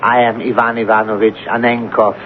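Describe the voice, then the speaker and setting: An actor playing a teasing, self-important chief clerk with an urbane, bantering manner in a 1970s radio drama. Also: male voice, lowish voice, flat delivery, brisk, close to an everyday voice. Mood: polite, affable. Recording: tinny, noisy old radio recording.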